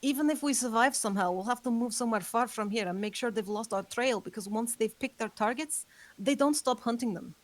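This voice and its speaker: A woman's voice: fairly high pitch, brisk, lightly acted. A woman reading the part of a young female vampire who earnestly warns her roommate of danger, in a script table read. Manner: earnest, urgent warnings.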